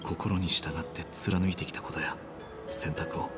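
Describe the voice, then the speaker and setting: Low, soft solemn young hero, acted by a man. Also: steady tone, brisk, close to an everyday voice. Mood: earnest, gentle. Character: solemn, resigned young male hero of destiny. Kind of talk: dramatic Japanese game voice acting. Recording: game music under the dialogue.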